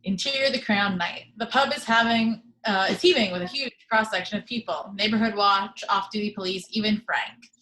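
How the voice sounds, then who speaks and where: Woman reading stage directions, bright tone, even and steady. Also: medium pitch, normal speed, few pauses, low-quality recording. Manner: steady, matter-of-fact reading. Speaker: reader of the action description. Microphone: video call.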